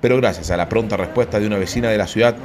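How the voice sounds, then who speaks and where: A man's voice: on the low side, rapid-fire, crowd noise. A man reading a scripted local news voice-over with a dramatic tone. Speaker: news reporter.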